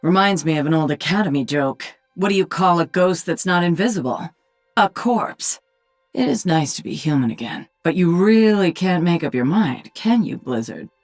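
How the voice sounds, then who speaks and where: A woman voicing a sardonic, commanding alien-hybrid warrior queen in a video game, with a husky voice. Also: lowish voice, expressive, normal speed, soft background music. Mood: dry, wry. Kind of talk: video game character voice lines.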